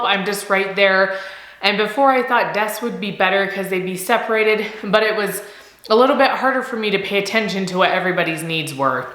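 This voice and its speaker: A woman in her thirties, a homeschooling mom, chatting casually in a vlog, slightly nasal from a cold, upbeat and quick to laugh. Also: mid-range voice, fairly bright tone, reverberant, poor audio. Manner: upbeat, laughs often, repeats words for emphasis.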